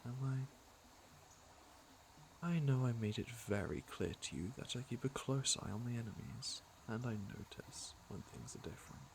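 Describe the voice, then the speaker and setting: Man playing a gravelly, flirtatious fantasy villain. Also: medium pitch, sing-song, normal speed, darkish tone, a little hiss or hum, rough recording. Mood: teasing, flirtatious. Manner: smooth-talking, teasing, intimate. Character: flirtatious, teasing, secretly caring male fantasy villain. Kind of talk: ASMR roleplay acting, intimate second-person address.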